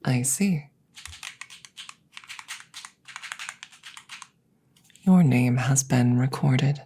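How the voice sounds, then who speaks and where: Woman acting as alien examiner, female, ASMR roleplay, breathy half-whisper, dark mellow tone, formal, clinical, precise, unhurried. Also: rumbling low, full character voice. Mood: matter-of-fact, curious. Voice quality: soft, breathy, often whispered. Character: formal, curious female alien examiner interviewing a rescued creature.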